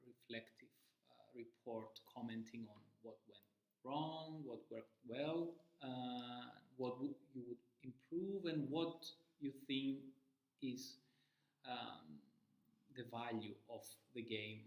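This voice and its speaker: A man from Cyprus who lectures in primary mathematics, introducing his part of a course in a measured way, with frequent uh pauses. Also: mid-range voice, reverberant, highs cut off.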